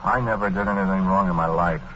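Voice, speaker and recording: A man's voice: sits low, steady tone, quick pace, background noise, natural voice. An actor playing an aggrieved, befuddled murdered man who tells his story matter-of-factly and colloquially in a 1940s radio drama. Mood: aggrieved, puzzled. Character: aggrieved, plain-spoken murdered man, now a befuddled ghost. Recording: slightly muffled old radio broadcast.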